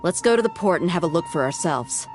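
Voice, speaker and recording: An actress gives voice to a bold mercenary woman in a video game. Her voice sits low for a woman, delivered with easy, decisive confidence. Game music plays steadily behind her. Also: animated, quick pace, natural voice. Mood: steady.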